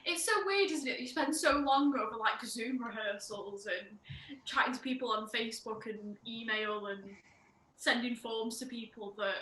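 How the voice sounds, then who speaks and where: A woman's voice: a little high, moderate pace, sharp tone. A woman hosting a podcast interview, chatty and enthusiastic, saying "like" often. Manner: chatty, enthusiastic, heavy use of "like". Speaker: podcast host. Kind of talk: casual interview chat. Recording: echoey room with faint background noise.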